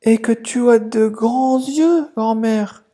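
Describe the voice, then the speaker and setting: A storyteller voicing an innocent, trusting little fairy-tale girl with a small, soft voice. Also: mid-range voice, slow pace, pitched above the performer's own voice. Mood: wondering. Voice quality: tiny, soft voice.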